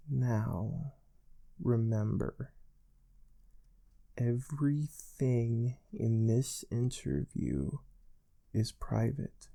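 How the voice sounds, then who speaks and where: A man playing a calm, stern space research interviewer in a soft-spoken ASMR role play, measured and formal. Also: medium pitch, gravelly, full character voice. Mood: formal, quietly stern.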